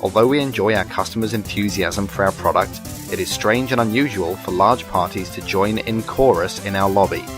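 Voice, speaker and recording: A man playing a stiff, formal customer-service representative in a deadpan comedy sketch. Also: fairly low pitch, a little fast, few pauses, music in the background, natural voice. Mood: stiffly polite. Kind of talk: deadpan comedy letter reply.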